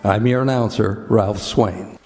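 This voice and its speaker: Formal radio announcer man over loud music. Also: lowish voice, measured pace.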